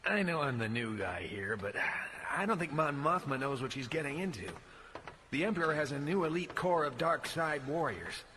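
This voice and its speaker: An actor playing a wary, skeptical Jedi newcomer with a blunt, puzzled manner in a sci-fi audio drama. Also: male voice, fairly high pitch, expressive, normal speed, noisy background, close to an everyday voice. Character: wary, skeptical male Jedi newcomer, former dark-side warrior.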